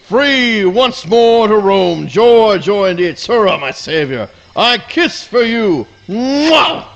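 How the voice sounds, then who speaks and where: Guy doing a pompous devoted dog for a radio play, super theatrical, high voice, kinda muffled live recording. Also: very animated, slow, creaky, fairly bright tone, soft background music, exaggerated voice. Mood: grandly grateful. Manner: theatrical, florid declaiming. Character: pompous, melodramatic, devoted talking hound dog.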